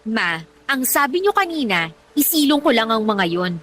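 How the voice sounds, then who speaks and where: A woman's voice: fairly high pitch, sing-song, normal speed, a bit bright. A woman playing a defiant, lazy young Filipino girlfriend in a radio drama, arguing back in a whiny manner. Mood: defiant, irritated. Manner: whiny, argumentative.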